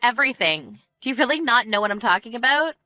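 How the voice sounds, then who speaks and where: A woman's voice: fairly high pitch, sing-song, fast talker, pushed and bright, no echo, faint music behind. A woman voicing a fed-up, sarcastic wife with a cutting, smug manner in a narrated family drama. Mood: smug, mocking. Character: fed-up, sarcastic young wife taunting her in-law.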